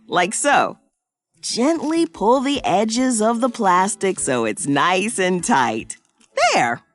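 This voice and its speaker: A woman playing a cheerful, excitable science professor who explains things in an exaggerated, sing-song way for young children in an educational cartoon. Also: fairly low pitch, heavily acted. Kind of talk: scripted educational cartoon narration.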